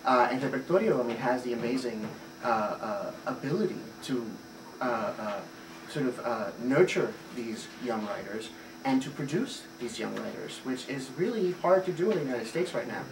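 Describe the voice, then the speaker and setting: A man's voice: medium pitch, animated, normal speed. A man from Puerto Rico, a theater director, giving thoughtful interview answers, fluent but with frequent filler sounds. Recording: roomy, distant mic, noisy ambience.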